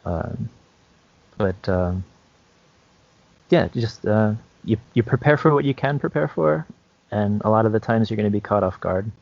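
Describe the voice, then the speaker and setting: A man's voice: lowish voice, lively intonation, unhurried, creaky, long pauses. A man co-hosting a podcast, chatting casually in a hesitant, loosely phrased, easygoing way. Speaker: podcast co-host, game master. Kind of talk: casual chat giving gaming advice. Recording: dull, lo-fi, dry podcast audio.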